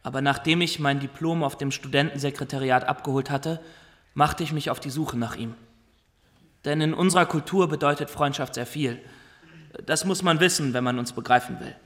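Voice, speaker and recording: Earnest, higher-voiced young pirate storyteller. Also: male voice, normal speed, fairly bright tone. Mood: solemn, earnest. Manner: earnest, formal, addresses listener directly. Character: earnest young Somali graduate turned pirate, naively solemn storyteller. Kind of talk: radio play first-person storytelling.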